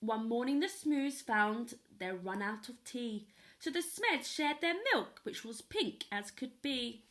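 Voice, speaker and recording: A woman reading a children's story aloud in an expressive storytelling style. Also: on the high side. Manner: pauses to ask young listeners questions. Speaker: storyteller at a primary school.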